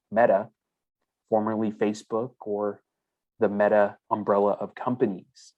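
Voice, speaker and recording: A man's voice: lowish voice, darkish tone, rough recording. A man hosting a crypto news show, reading stories aloud and adding chatty asides in a casual, conversational way.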